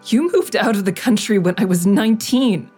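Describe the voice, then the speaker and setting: An actress playing a plain-spoken, wounded grown daughter in naturalistic audio drama dialogue. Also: female voice, medium pitch, lively intonation, normal speed, dark tone, slightly rough, faint music behind. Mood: hurt, accusing. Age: adult.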